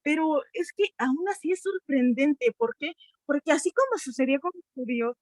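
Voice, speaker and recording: A sixteen-year-old Mexican girl with a Mexican accent, speaking earnestly at a youth colloquium, often pausing to search for words and restarting her sentences. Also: high-pitched, darkish tone, dry recording, low-quality recording. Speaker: essay contest participant. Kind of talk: unscripted talk at a youth colloquium.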